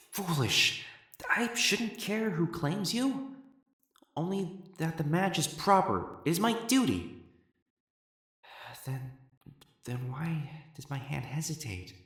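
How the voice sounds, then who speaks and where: A man playing an elegant, proper matchmaker in a dramatic audio roleplay, speaking formally and haltingly as he hides his jealousy. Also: a little high, a bit bright, slightly rough, full character voice. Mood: conflicted, denying. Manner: formal, halting, stammering when flustered.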